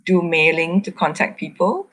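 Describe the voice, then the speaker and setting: A woman's voice: lowish voice, normal speed, fairly bright tone. A woman, an artist moderating a conversational group discussion, speaking tentatively with frequent small verbal asides. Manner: tentative, repeats "you know".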